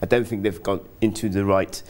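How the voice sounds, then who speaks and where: A middle-aged man pitching stocks off the cuff as a studio guest, hesitating and repeating phrases. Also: little pitch movement, fairly quick, darkish tone. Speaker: investment analyst, show guest. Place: TV studio, standing.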